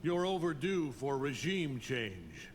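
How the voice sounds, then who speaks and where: An actor playing an arrogant, menacing alien conqueror superhero, contemptuous, in video game fight style. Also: male voice, mid-range voice, big pitch swings, takes it slowly. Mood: domineering. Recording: echoey game arena, faint noise.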